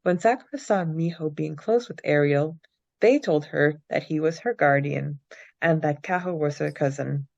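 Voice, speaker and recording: Podcast co-host: young woman, low voice, recap and book-club style, slightly lo-fi mic. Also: moderate pace, gravelly, a little mellow. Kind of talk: reading a recap, then casual book-club chat.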